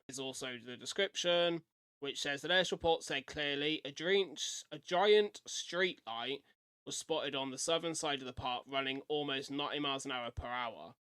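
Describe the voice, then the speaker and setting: A man's voice: on the high side, bright, forceful tone, smooth, no room sound. A man giving a casual live-stream reaction, reading things aloud and commenting as he goes. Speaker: streamer reacting to horror videos.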